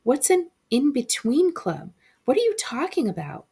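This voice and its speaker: A woman's voice: high voice, sing-song, unhurried, mellow, husky, voice pushed up, full character voice. A woman voicing a bewildered, frightened young boy in a storybook reading, sounding incredulous. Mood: confused, demanding. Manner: incredulous, stammering when scared.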